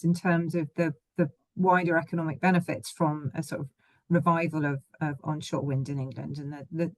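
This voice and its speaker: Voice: medium pitch, dry recording. A moderator closing out a webinar panel over a video call. The voice sits mid-range and the sound is close and dry. The speech is loose and hedged, with "sort of" and "um" running through it.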